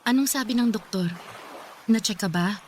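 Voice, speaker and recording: A woman's voice: a little high, normal speed, slight background noise, natural voice. An actress playing a caring, worried young girlfriend in a Filipino radio drama, solicitous and questioning, with a Filipino accent.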